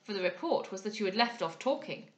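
A witty, teasing gentleman of society played by a woman reader, speaking formally with mock gravity. The lines come from a period novel read aloud, with a roomy echo around the voice. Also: mid-range voice, animated, fast, natural voice. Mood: arch, dry. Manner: arch, mock-grave, formal. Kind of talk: dramatised audiobook reading of a period novel.

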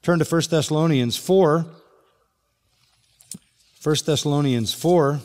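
A man's voice: on the high side, slow pace, a little mellow. An older man preaching an expository sermon, earnestly reading scripture aloud and stressing key points as a pastor. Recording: large hall with long reverb.

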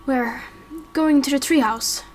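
A woman's voice: sits high, slow, a little mellow, slight background noise. A woman voicing an earnest, anxious, protective young adventurer in an amateur game roleplay.

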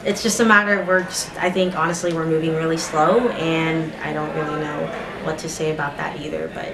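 A man's voice: fairly high pitch, flat delivery, brisk. A young man giving a casual, hesitant interview answer, often rephrasing with some doubt. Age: young adult. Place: large indoor corridor. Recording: echoey noisy hallway.

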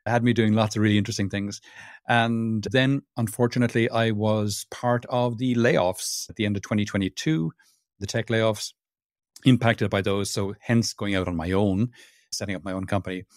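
Man, Irish accent, lowish voice, dry close sound, chatty interview guest. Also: moderate pace, no room sound. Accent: Irish, Cork. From Ireland. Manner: chatty, self-deprecating, repeats words when restarting. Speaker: podcast guest, sustainability consultant. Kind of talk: casual interview talk.

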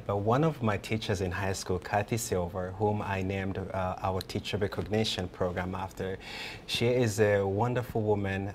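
A young man, speaking earnestly as a guest in a TV news studio interview and pausing often on fillers. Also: fairly low pitch, slight background noise. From Rwanda. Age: 20s. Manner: earnest, halting with many fillers.

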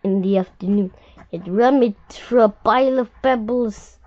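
Female storybook reader: deliberate, slow, very expressive delivery for young children, slightly lo-fi sound. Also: on the high side. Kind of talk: children's picture-book read-aloud.

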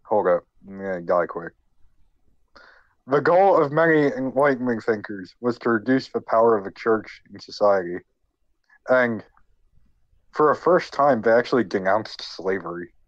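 A man podcast co-host who is sick, explaining history informally in a halting, jokey way. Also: fairly low pitch, lively intonation, dry recording, dull audio. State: sick with a cold.

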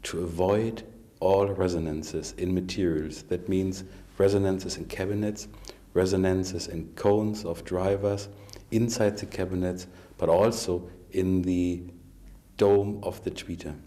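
A middle-aged man, a company executive, giving a prepared interview statement with deliberate, slightly halting phrasing. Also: low-pitched, steady tone, warm tone. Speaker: company president and chief executive. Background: faint music.

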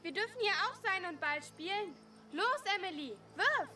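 High-voiced bossy young girl. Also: lively intonation, normal speed. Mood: defiant. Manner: bossy, goading. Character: bold, defiant, scheming young girl. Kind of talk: German radio play acting. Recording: background noise behind the voice.

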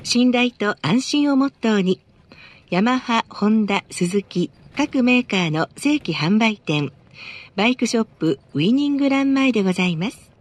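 A woman's voice: a little high, expressive, normal speed. A woman reading scripted advertising announcements for a bus, politely and formally.

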